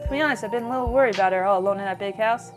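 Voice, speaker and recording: A woman's voice: mid-range voice, very fast, music in the background, lightly acted. A woman reading the lines of a chatty, friendly small-town young woman in a video game, with a folksy, easygoing manner. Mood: friendly curiosity.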